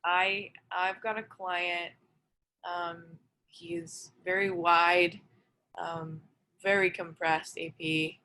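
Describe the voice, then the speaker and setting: A woman working as a trainer, casually and haltingly describing a case on a group call with noisy audio. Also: fairly low pitch, level tone, pushed and bright. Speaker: trainer asking about a client.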